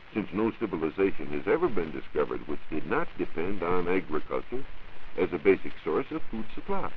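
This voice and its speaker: A man with a very deep voice explains science in a formal, precise way. His pitch moves around in a lively, animated fashion. It is an old 1940s radio broadcast with a dull sound and some faint hiss. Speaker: science reporter and radio panelist.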